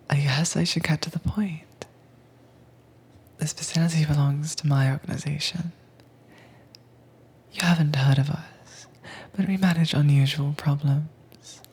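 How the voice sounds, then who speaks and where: A woman performing a whispered roleplay as a calm, commanding agent who keeps a werewolf caged, speaking in a breathy, teasing manner close to the mic. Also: low-pitched. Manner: cool, teasing, unhurried. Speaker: audio drama voice actress. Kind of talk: soft-spoken whispered roleplay audio.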